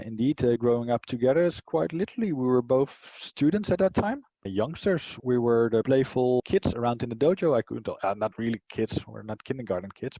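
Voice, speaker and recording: Dutch-accented man in his thirties, interview guest, warm dark tone, dry lo-fi sound. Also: mid-range voice, no echo. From Netherlands. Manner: thoughtful, with frequent fillers and restarts. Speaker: interview guest, martial arts teacher. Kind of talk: casual conversation.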